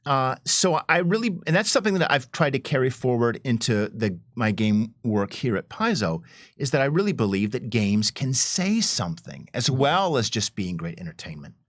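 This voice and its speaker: A man speaking as a game designer on a discussion panel, explaining things in an animated, professorial way. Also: mid-range voice, moderate pace, no echo, clean studio sound.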